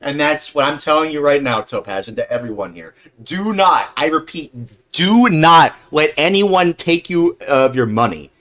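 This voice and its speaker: A man's voice: a little high, animated, moderate pace, hardly any pauses, a bit bright. A man in his mid-twenties chatting casually as a livestream host, rambling earnestly and often repeating and rephrasing himself.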